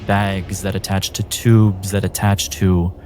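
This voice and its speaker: A man runs a tabletop game with suspenseful narration. His voice sits very low and moves slowly, with music clearly playing behind him. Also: level tone, darkish tone. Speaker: game master.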